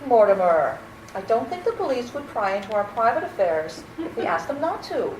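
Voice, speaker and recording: A woman's voice: fairly high pitch, sing-song, normal speed. Woman acting a prim, lilting old aunt. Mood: fussy, scolding. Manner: fussy, matter-of-fact, emphatic. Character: prim, indignant old aunt, sweetly unashamed murderess. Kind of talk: old-time radio play acting. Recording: roomy, slightly noisy amateur recording.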